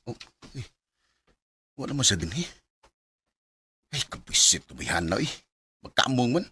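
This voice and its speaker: A man's voice: mid-range voice, very animated, normal speed, sharp tone, slightly rough, exaggerated voice. An actor playing a reluctant, grumbling male college student in a Filipino radio drama, with muttering, hesitant delivery.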